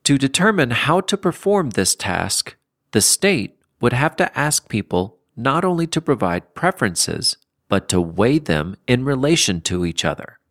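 A man reading an essay aloud as scripted narration, in a measured, deliberate way. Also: mid-range voice, big pitch swings, a bit bright, dry recording, studio quality. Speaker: audiobook-style reader of a political essay.

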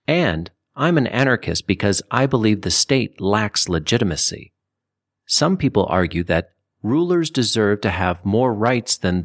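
Low-voiced male audiobook narrator, expressive intonation. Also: no echo, studio quality. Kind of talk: scripted audiobook narration of a nonfiction political book.

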